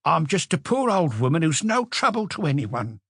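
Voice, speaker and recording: Male narrator as elderly woman, raised pitch, defensive, stubborn, audiobook character voice, dry close sound. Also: sing-song, normal speed, a bit bright, slightly rough, no room sound, full character voice. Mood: indignant, defensive. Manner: indignant, stubborn denials. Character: defensive old woman, retired fortune teller in her sixties.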